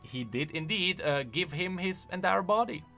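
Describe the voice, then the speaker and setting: A male commentator with a fairly high, very bright and forceful voice. He explains things off the cuff with big swings of emphasis, over background music. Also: lively intonation, moderate pace. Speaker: video host, game lore commentator.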